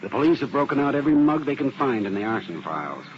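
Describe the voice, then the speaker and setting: A man's voice: mid-range voice, very animated, quick pace, close to an everyday voice. An American actor playing an earnest, methodical male arson specialist in a 1950s radio drama, explaining things with plain-spoken assurance. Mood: reporting. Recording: dull old radio recording with heavy noise.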